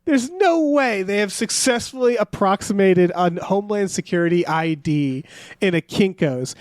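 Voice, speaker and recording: A man's voice: sits high, lively intonation, normal speed, no echo, clean studio sound. A man co-hosting a podcast in a casual, comedic recap style, rambling and incredulous, with some offhand swearing. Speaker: podcast co-host.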